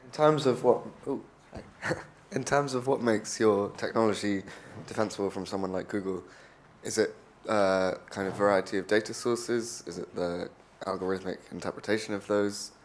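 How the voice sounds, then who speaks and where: A man's voice: lowish voice, steady tone, normal speed. A man in an event audience asking a question, hesitant and searching for words. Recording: distant audience voice with faint noise.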